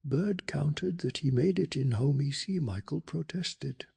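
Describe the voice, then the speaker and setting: A man softly narrating a bedtime story in a hushed, slow and deliberate ASMR style, with a breathy, gravelly voice. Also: a little high. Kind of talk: soft-spoken ASMR scripted story narration.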